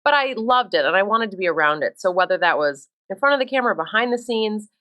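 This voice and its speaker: A woman's voice: mid-range voice, lively intonation, fast talker, a bit bright, lo-fi sound. A woman in her thirties from Minnesota, a sports reporter chatting as an interview guest, upbeat and self-deprecating. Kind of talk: casual interview.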